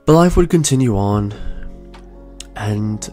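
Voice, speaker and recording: A young man in his twenties hosting a podcast, telling his own story in a soft, slow, soothing way. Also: on the low side, lively intonation. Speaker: podcast host. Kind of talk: calm reflective storytelling. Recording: faint lo-fi music bed. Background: soft lo-fi music.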